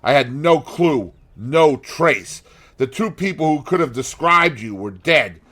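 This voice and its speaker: A man voicing a grim, vengeful sailor in an audiobook, growling threats in a forceful, menacing way. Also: on the high side, lively intonation, unhurried, pitched above the performer's own voice.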